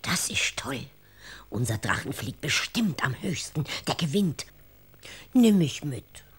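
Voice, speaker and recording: A woman's voice: low voice, very animated, slow pace, slightly rough, no room sound, exaggerated voice. A woman playing a tiny flying granny in a children's radio drama, playful and cheerful, with a sing-song, rhyming manner. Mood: eager, pleading. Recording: music playing behind the voice. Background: plucked guitar music.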